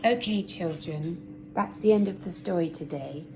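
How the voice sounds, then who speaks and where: A woman narrating a children's story expressively, with deliberate, dramatic emphasis. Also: on the low side. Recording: noisy amateur recording, clear background noise.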